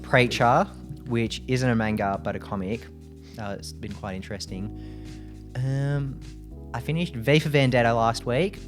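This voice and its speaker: A man co-hosting a podcast, chatting casually in a relaxed, measured way. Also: medium pitch, over music. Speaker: podcast co-host. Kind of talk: casual chat.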